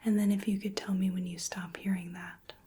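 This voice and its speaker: A woman in her twenties speaking in a gentle, breathy whisper, carefully giving instructions in a soft-spoken ASMR medical roleplay. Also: medium pitch, little pitch movement, fairly quick. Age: 20s. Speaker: ASMR performer playing a hearing examiner. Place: small indoor room. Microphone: close microphone, just off camera.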